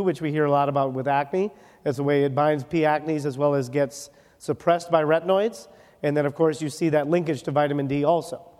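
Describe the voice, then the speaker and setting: A man from Wisconsin giving a joking, fast-moving medical lecture at a conference, often checking in with "okay?". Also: fairly high pitch, darkish tone. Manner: joking, rapid, ends points with "okay?". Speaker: dermatologist lecturer. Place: conference hall. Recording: reverberant conference hall.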